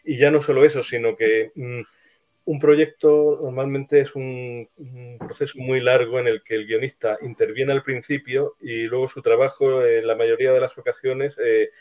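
A man's voice: medium pitch, fairly even intonation, moderate pace. Dull-sounding, thoughtful man answering questions. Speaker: screenwriter being interviewed. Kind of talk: casual interview answers.

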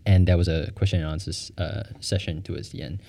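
A man's voice: deep voice, fairly even intonation, brisk, vocal fry, mellow. A man hosting a casual chat, curious and chatty, asking follow-up questions. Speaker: host.

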